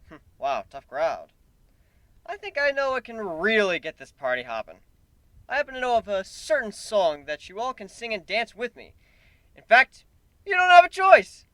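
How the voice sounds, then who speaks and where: A man's voice: sits high, huge pitch swings, moderate pace, sharp tone, smooth, dry recording, voice pushed up, exaggerated voice. A man voicing a wry, wisecracking human hero in a fantasy story reading, given to exclaiming. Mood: rousing.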